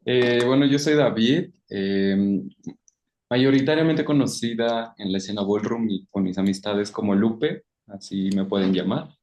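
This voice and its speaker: A person introducing themselves casually on a video call, relaxed and laughing easily. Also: male voice, on the low side, unhurried. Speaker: workshop participant.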